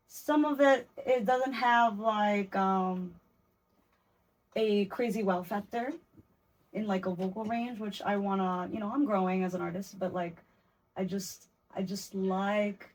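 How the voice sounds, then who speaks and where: A woman singer chatting casually on a podcast, animated and expressive, talking freely with slang. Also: mid-range voice, rough recording.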